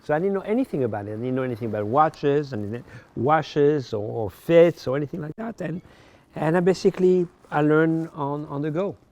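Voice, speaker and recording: A man in his sixties from Morocco, raised in France, telling his life story as a podcast guest in a halting way, often repeating words. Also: fairly high pitch, darkish tone. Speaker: podcast guest, fashion designer. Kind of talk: casual interview storytelling.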